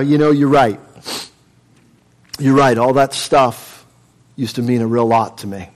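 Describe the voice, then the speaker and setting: A man performing a first-person monologue as an earnest, humble man recalling how his life changed, speaking softly and haltingly. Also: mid-range voice, natural voice. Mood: reflective. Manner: earnest, soft-spoken, halting storytelling.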